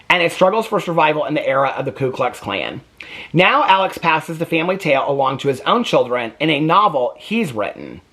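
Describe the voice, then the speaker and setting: A man's voice: on the high side, fairly bright tone, slightly rough. A man giving a casual, candid book review to camera, opinionated and often circling back over his points.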